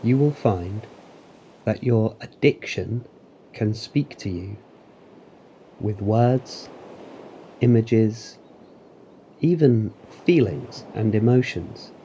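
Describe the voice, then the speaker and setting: A man narrating a guided meditation in a calm, deliberate, soothing manner with long pauses. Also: fairly low pitch, a little hiss or hum, rough recording. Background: waves.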